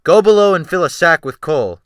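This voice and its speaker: A man playing a cold, menacing sea captain in a dramatic audiobook, smooth-spoken but snapping into orders. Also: fairly high pitch, lively intonation, fairly quick, no room sound, studio quality, pitched above the performer's own voice. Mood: barking command. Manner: smooth, then snapping sharply into loud orders. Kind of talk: dramatic audiobook character reading.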